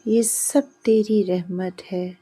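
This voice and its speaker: A woman storyteller gives us a poor, pious man from a moral tale. The voice is mellow and husky, with a reverent, pleading manner. He sounds humble before God. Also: medium pitch, steady tone, close to an everyday voice. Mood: humbly grateful.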